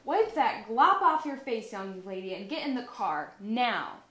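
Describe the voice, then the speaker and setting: A woman's voice: on the high side, very animated, measured pace, fairly bright tone. A woman voicing a busy mother pig in a storybook, patient but firm and explaining. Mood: scolding. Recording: roomy indoor echo.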